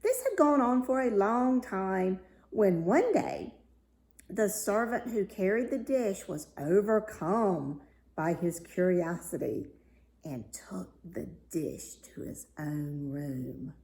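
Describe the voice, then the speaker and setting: Slow, lively woman reading a fairy tale. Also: medium pitch. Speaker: storyteller reading aloud. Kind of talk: expressive read-aloud fairy tale narration. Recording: roomy, echoey room sound.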